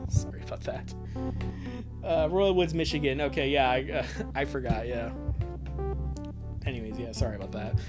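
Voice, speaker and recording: A man's voice: mid-range voice, moderate pace, pauses often. Sarcastic male streamer over music. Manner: sarcastic, swearing. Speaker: streamer reading fan fiction aloud. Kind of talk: casual live-stream chat.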